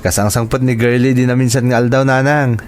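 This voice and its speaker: A man's voice: medium pitch, flat delivery, fairly quick, a little mellow, lightly acted. A man with a Filipino accent playing an earnest young man in love in an Ilocano audio drama. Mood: cheerful, polite. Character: devoted young man in love, persuading his mother.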